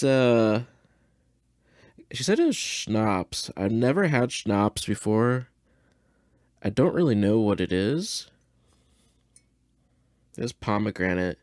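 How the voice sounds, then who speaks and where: A man's voice: on the low side, no echo, studio quality. A man hosting a podcast in casual chat, rambling and poking fun at himself in a laid-back way. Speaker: podcast host. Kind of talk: casual chat, reacting to an old radio show.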